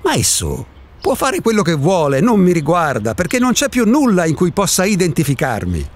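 Guy acting as eccentric loner in a radio play, husky, swoopy pitch, impatient and provocative philosophizing. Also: huge pitch swings, moderate pace, full character voice. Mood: insistent. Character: eccentric solitary man insisting he does not exist. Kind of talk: Italian radio drama acting.

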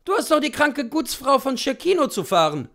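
An impatient coachman played by a man in a storybook reading. He calls out loudly and brusquely in a raised, high voice, bossing a lad. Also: sing-song, normal speed, full character voice. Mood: urgent, commanding.

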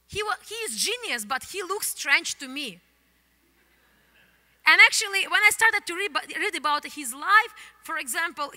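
A woman pastor in her thirties from the Soviet Union, with a Ukrainian accent, preaching an animated sermon into a handheld microphone on a church stage. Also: high-pitched. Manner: animated, gesturing, non-native English phrasing. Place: church stage, indoors, large hall. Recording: long hall reverb from the church.

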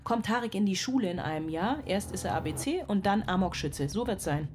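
An actress playing an obsessive, distrustful single mother in a radio drama, cold and deliberate. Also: medium pitch, expressive, a little mellow, slight background noise, close to an everyday voice. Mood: cold, suspicious. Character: obsessive, distrustful single mother plotting against her child. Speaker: actress, lead and narrator of a radio play. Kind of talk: German radio drama monologue. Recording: music under the voice.

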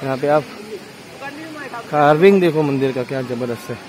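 A man's voice: medium pitch, moderate pace, a little mellow, some chatter behind. Man giving a casual temple walking tour. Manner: plain, explanatory, recounting temple paintings. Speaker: travel vlogger. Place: outdoors at a large marble temple. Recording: noisy outdoor phone recording.

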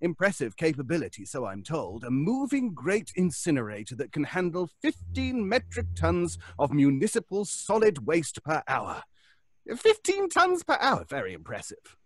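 A man's voice: a little high, huge pitch swings, moderate pace, no room sound. An actor playing a polite, upbeat lawyer in an audio drama, formal and wordy in his speech. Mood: chatty, enthused. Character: polite, fussy, upbeat male estate lawyer.

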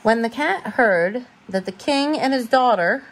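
A woman reading a bedtime storybook aloud as a parent, expressive and informal, stumbling now and then over words. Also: a little high, unhurried, slight background noise, rough recording.

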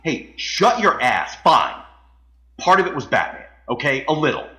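A man's voice: fairly high pitch, huge pitch swings, moderate pace, heavily acted. A man playing a bossy, self-important bank robber gang leader in a comedy audio drama, theatrical, sarcastic and foul-mouthed. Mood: defensive, annoyed. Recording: loud music behind the voice, roomy echo.

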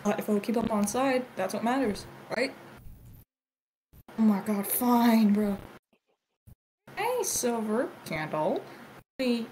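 A woman's voice: a little high, sing-song, slow, long pauses, darkish tone, a little hiss or hum, low-quality recording. A woman improvising a joking cartoon fandub in a giggly, theatrical manner. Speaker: amateur fandub voice actor.

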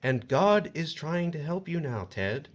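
An actor playing a smug, folksy agent of the afterlife who mockingly recites a sinner's crimes in an audio horror drama. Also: male voice, on the high side, big pitch swings, measured pace, highs cut off. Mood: accusing, smug.

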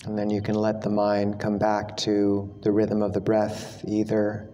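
A man teaching a guided meditation, speaking slowly and gently with long pauses. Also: fairly low pitch, monotone. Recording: echoey hall with long reverb, background noise.

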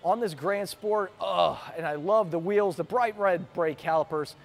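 A man's voice: sits high, measured pace, gravelly. A man giving an enthusiastic, chatty walkaround commentary as a car vlogger inside a warehouse, filmed on a handheld camera.

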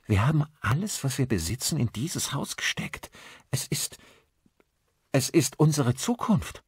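A man's voice: mid-range voice, big pitch swings, a little slow, husky, dry recording, voice pushed up, exaggerated voice. A man voicing an uneasy woman in an audiobook, hesitant and searching for words. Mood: troubled.

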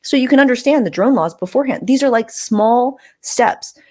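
A woman's voice: on the high side, moderate pace, darkish tone. A woman hosting a podcast in casual, chatty style, acting out little asides as she talks on a close desk mic. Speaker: podcast host interviewer. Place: indoor room on a video call. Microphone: close desktop mic with furry windscreen.

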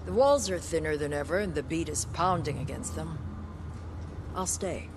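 A woman's voice: deep voice, moderate pace, background noise, lightly acted. An actress playing a blunt, spiritual, resolute woman survivor in a video game cutscene, with a matter-of-fact delivery. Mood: solemn, resolute.

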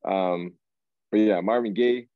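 A man, chatting casually as an interview guest in a halting, slangy way on a video call. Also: lowish voice, no echo, phone-quality sound. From Canada, raised in Toronto. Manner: halting, slangy, searching for words. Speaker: guest R&B singer. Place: small room, indoors. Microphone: video call, device at arm's length.

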